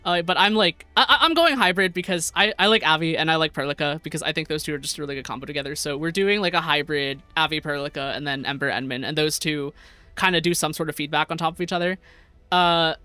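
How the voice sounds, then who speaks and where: A woman's voice: sits low, quick pace, pushed and bright, no echo. A young American woman chatting casually while streaming a game, reading chat aloud, giggling often and rambling through her sentences. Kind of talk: casual gameplay livestream chat.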